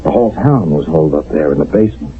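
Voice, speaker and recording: A man playing a grim, world-weary army sergeant in a radio drama, speaking gravely and plainly with emphasis. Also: very deep voice, expressive, quick pace. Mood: grave, wry. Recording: dull old radio recording.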